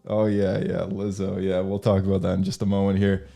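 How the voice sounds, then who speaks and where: Man, podcast host, lowish pitch, casual chat, meandering hesitant phrasing. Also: completely flat delivery, brisk, creaky, mellow.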